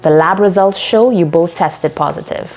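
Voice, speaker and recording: A woman narrator plays a weary middle-aged man who runs a sports club in an audio short story. He speaks gravely and with principle, with faint music underneath. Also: lowish voice, moderate pace, a little mellow. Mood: grave, firm.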